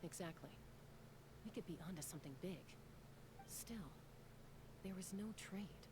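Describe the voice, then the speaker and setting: An actress playing a confident, level-headed, protective woman scout in a video game, assured and deliberate. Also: lowish voice, noisy background, lightly acted. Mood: thoughtful.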